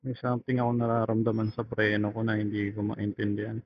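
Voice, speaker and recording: A man's voice: lowish voice, flat delivery, fast. A young Filipino man chatting casually and rambling in slang, thinking aloud as he vlogs from a motorcycle through a helmet intercom microphone. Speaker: motorcycle vlogger. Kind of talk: casual motovlog chat while riding. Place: outdoors on a motorcycle in traffic. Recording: dull intercom mic with road noise.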